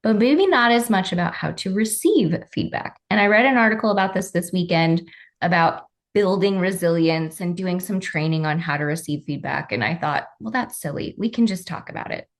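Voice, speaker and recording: A woman's voice: lowish voice, normal speed. A woman hosting a workplace podcast, leading a casual chat with friendly, self-deprecating remarks. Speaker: podcast host.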